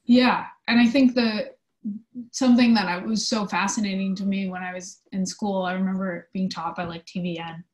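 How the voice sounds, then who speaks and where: A woman answering interview questions in an informal, conversational way, explaining her work thoughtfully with pauses. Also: medium pitch, steady tone. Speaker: theatre company manager being interviewed.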